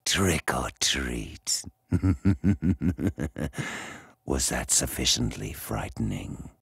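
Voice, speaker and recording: A man voicing a huge, earnestly menacing fantasy game brute with a gravelly, husky voice. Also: extremely low pitch, expressive, drawn-out words, fairly bright tone, dry recording, clean studio sound, heavily acted.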